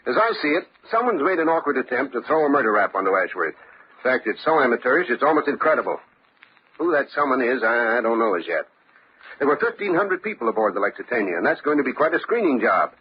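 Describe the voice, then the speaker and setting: A dull, lo-fi radio recording with faint noise carries a tough police lieutenant played by a male actor. He is mid-pitched, brisk and assured, a shrewd hard-boiled detective of old crime serials. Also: sing-song, natural voice. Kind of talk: old-time radio crime drama acting.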